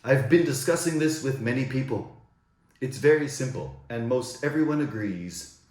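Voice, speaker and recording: A man's voice: mid-range voice, reverberant. A man from the United States, delivering an indignant, rhetorical scripted monologue. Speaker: commentator.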